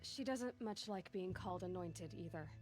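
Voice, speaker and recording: Woman voicing determined young huntress, dry and wry, lowish voice, game music behind. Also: moderate pace, natural voice. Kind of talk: video game dialogue.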